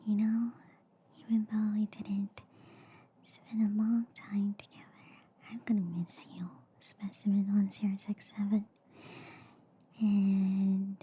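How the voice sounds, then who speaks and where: A woman whispering softly and soothingly in an ASMR roleplay as a gentle, reassuring alien doctor, close to the microphone. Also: mid-range voice, a little hiss or hum. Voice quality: soft, breathy whisper.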